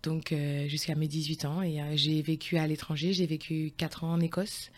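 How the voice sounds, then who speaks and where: A woman's voice: low voice, level tone, moderate pace. A woman in her forties, a guest on a podcast, answering thoughtfully with many hesitations and restarts. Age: 40s. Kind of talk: conversational interview.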